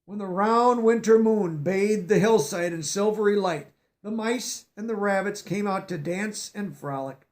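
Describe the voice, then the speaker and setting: A man's voice: high voice, measured pace, a little mellow. A man reading a picture book aloud with expression, stopping now and then to explain words for young listeners. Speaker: storybook reader.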